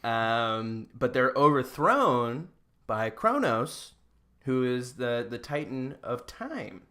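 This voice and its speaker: A man's voice: mid-range voice, very animated, takes it slowly, bright, forceful tone. A man hosting a podcast, casually retelling myths in a joking, irreverent and slangy way. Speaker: podcast host.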